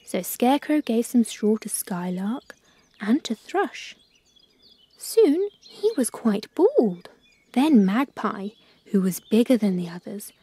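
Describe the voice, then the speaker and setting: Slow, expressive woman storyteller. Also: medium pitch, breathy edge. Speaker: children's story narrator. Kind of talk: scripted storybook narration.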